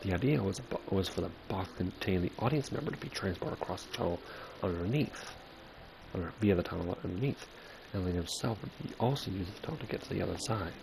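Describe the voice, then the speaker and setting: Low, gravelly man reading game dialogue. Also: animated, fast. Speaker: gamer making a playthrough. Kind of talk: reading game dialogue aloud, casual commentary. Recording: clearly noisy background.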